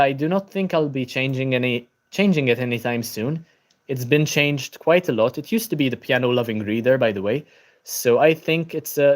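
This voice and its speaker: Tired young man chatting. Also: medium pitch. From Malta. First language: Maltese. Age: young adult. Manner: relaxed, many ums, greets viewers by name. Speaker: book channel livestreamer. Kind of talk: casual livestream chat, reading viewer comments and answering. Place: at home at a laptop.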